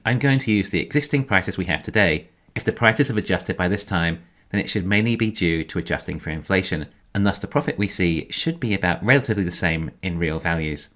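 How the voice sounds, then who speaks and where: A man giving a scripted financial commentary in a measured, explanatory way. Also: low-pitched, fairly bright tone. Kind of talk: scripted narration, financial analysis.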